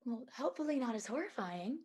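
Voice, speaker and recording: A woman's voice: a little high, lively intonation, fast talker, a little mellow, slightly rough. A woman playing a sardonic, polished executive assistant with a cutting, teasing manner. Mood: mocking.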